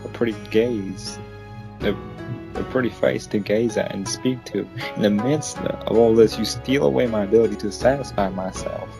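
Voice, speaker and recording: A man acts out a flustered cowboy hunter with a folksy, playful grumble. He rambles and stumbles through his complaints in an amateur fan fiction reading, with music clearly behind him. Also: fairly low pitch, normal speed. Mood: mock grievance.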